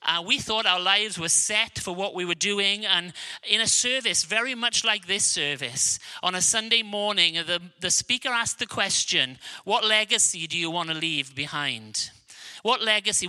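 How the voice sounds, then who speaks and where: Welsh man preaching, high and bright. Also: clear, resonant tone. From Wales. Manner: earnest, emphatic, drops low and slow on key lines. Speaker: guest preacher. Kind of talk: sermon.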